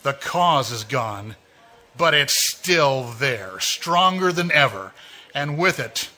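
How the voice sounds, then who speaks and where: A man's voice: medium pitch, lively intonation, pushed and bright, exaggerated voice. A man playing an all-knowing narrator who taunts a jealous woman in a live 1940s-style radio mystery. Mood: taunting. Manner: insinuating, measured, knowing. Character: mysterious omniscient male narrator taunting a killer.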